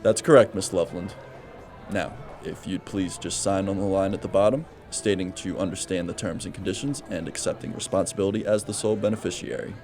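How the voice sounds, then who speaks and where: Man acting a low-voiced, genial older salesman. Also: brisk, a little mellow, faint music behind, lightly acted. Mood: businesslike. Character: smooth, genial older male insurance salesman in suit. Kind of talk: noir radio drama dialogue.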